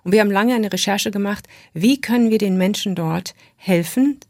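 A woman's voice: mid-range voice, moderate pace, a little mellow, no room sound, clean studio sound. A middle-aged woman, a native English speaker, giving a thoughtful radio interview as an author, with frequent restarts and fillers. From Western New Guinea. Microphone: studio microphone.

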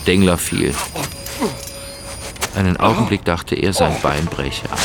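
A man's voice: deep voice, unhurried, gravelly, heavy background noise. A man reading scripted narration for a radio crime drama.

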